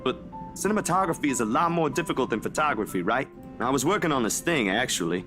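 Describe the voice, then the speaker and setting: A modest, easygoing young man talks in a middling pitch, as a photographer in a visual novel. Music plays clearly behind his lines. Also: lively intonation, fast talker, bright, forceful tone. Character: Zachary, easygoing young male freelance photographer, modest and passionate.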